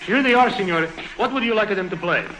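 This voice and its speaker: Eager high-pitched waiter. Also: male voice, animated, quick pace, rough recording. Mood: eager, obliging. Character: eager, deferential Italian waiter. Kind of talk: 1950s radio drama acting. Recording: vintage radio recording, loud music behind.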